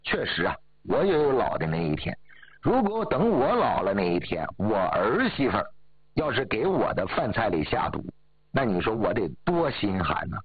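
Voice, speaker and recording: Man voicing a young wife, husky, animated, dry phone-like sound. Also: moderate pace, dry recording, full character voice. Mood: remorseful. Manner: shrill accusing, then pleading. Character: scheming young daughter-in-law, later remorseful. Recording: tinny phone-like audio.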